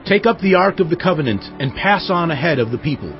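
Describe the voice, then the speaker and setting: An actor playing a commanding, fervent Israelite leader in a dramatized audio Bible. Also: male voice, fairly high pitch, expressive, fast, close to an everyday voice. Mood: exalted, commanding. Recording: slightly dull audio over music.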